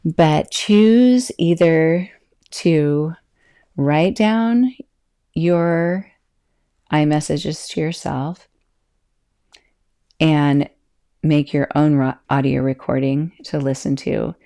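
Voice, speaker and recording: A middle-aged woman hosts her own podcast as a yoga teacher, speaking in a mellow, gravelly voice. She talks casually, breaks off her own sentences and laughs often. Her voice stays calm even when it turns loud and carries. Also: low-pitched, drawn-out words, pauses often. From California. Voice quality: can be loud and projecting, calm-sounding. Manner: chatty, self-interrupting, laughs often. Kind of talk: casual solo podcast talk.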